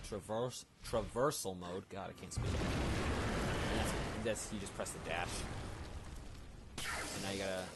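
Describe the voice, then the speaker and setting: A man giving casual, off-the-cuff game commentary in a rambling, unsure way, often correcting himself. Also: deep voice. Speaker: let's play gamer. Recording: noisy home game recording.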